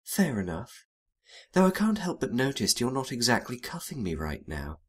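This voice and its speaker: Man playing a sultry noir femme fatale, female outlaw, husky, coy, teasing, unhurried. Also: mid-range voice, huge pitch swings. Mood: playful. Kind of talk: noir detective audio drama.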